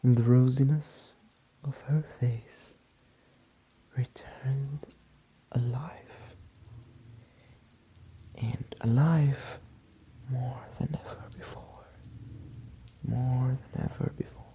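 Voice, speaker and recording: A woman softly narrating an ASMR bedtime story in a hushed, breathy whisper, with long pauses between short phrases. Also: a bass voice, fairly even intonation. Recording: muffled sound with rain behind. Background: soft rain.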